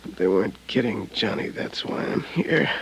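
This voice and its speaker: An actor playing a gravely injured, dying insurance man in an old radio drama, weak and in pain, speaking in halting, labored phrases. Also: fairly low pitch, lively intonation. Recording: hissy old radio recording.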